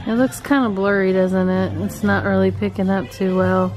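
A woman's voice: mid-range voice, steady tone, normal speed, darkish tone, faint music behind. A middle-aged woman, filming a chatty shopping vlog in a home decor store and gushing over cute items on a handheld camera. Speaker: vlogger. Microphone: handheld camera mic.

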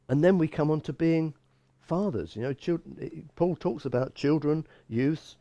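A man's voice: fairly high pitch, slow, long pauses, warm tone, no room sound, lo-fi sound. A man in his sixties giving an expository Bible teaching sermon as a pastor, emphatic and given to repeating phrases. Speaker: bishop and pastor. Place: small church room, indoors.

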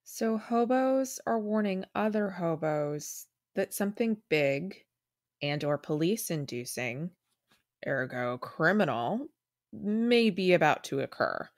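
A woman's voice: fairly low pitch, expressive, slow, no room sound, clean studio sound. An actress voicing a cocky young female archer sidekick in a motion comic, sardonic and teasing. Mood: skeptical.

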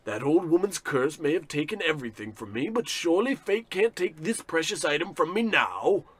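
A pompous, despairing executive in an old-style radio comedy. His voice is high and husky, with overwrought delivery prone to ranting, recorded very dry. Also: very animated, normal speed, dry recording, heavily acted. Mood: weary relief. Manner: pompous, overwrought, swinging into shouts. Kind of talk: parody of old radio drama.

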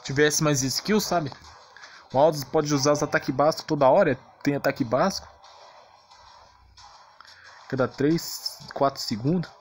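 Brazilian game commentator, mid-pitched, casual and grumbling, light music underneath. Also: measured pace, pauses often. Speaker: gamer, video creator.

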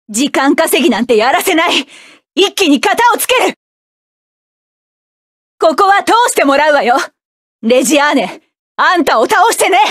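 A woman voicing a fierce, commanding young mech pilot in a game, with forceful battle shouts and clipped commands. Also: high-pitched, dry recording, clean studio sound. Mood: cool. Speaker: voice actress. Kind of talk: game voice clips.

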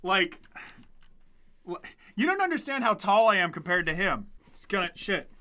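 A man's voice: high-pitched, big pitch swings, moderate pace, sharp tone. A man in his twenties commentating casually on a livestream, joking and swearing as he reads chat, close to a desk microphone in a small room. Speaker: game streamer. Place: small dim room indoors. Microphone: desk microphone close in front.

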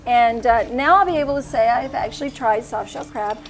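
A woman's voice: fairly high pitch, brisk, faint music behind, low-quality recording. A middle-aged woman hosting a casual, upbeat chat over dinner on a restaurant patio, with a lapel mic. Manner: chatty, upbeat, leads the talk. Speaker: host.

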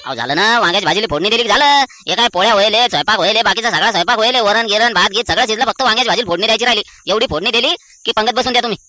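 This cartoon cook talks fast in a high-pitched voice. He is harried, stubborn and argumentative, a rural Maharashtrian played by a man who is always on the defensive. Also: sharp tone, dry recording. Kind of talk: cartoon comedy dialogue.

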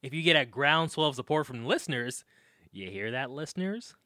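Bright, animated, teasing young man. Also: medium pitch, fairly quick, a little hiss or hum. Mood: coaxing. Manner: bantering, emphatic, insistent. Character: Demetrius, young male podcaster, teasing, pushy best friend. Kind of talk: naturalistic comedic audio drama acting.

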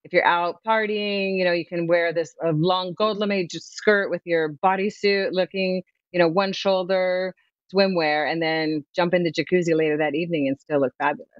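Woman, 40s, lively guest, video call audio, muffled, dry. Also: lowish voice, moderate pace, no room sound. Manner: lively, chatty, laughs easily. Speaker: swimwear brand founder, guest. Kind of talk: promotional interview chat. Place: home room, remote video call.

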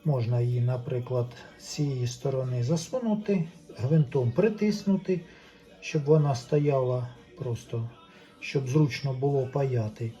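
Man, soft dark timbre, medium pitch, casual DIY commentary, unhurried, thinking aloud. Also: expressive, soft background music. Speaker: hobbyist repairer presenting a DIY video. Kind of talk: casual step-by-step repair commentary.

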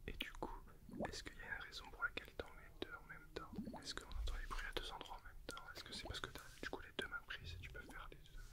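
A man's voice: very high-pitched, fast talker. A man in his twenties whispering breathily at a close desk microphone, chatting casually and asking questions as host. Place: small indoor studio with sofa. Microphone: close desktop condenser microphone.

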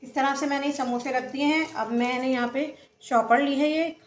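Calm higher-voiced woman cooking host. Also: moderate pace. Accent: Pakistani Urdu. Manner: calm, methodical, narrates each step as she does it. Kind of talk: step-by-step cooking instruction.

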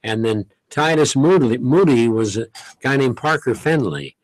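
A man's voice: medium pitch, lively intonation, moderate pace, no room sound, rough recording. An older man, a radio show host, chatting genially as a guest on a studio talk show, joking and reminiscing. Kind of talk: casual interview talk. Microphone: studio boom mic.

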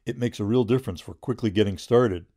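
A middle-aged man reading a scripted product review in a measured, informative way. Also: lowish voice, dark tone.

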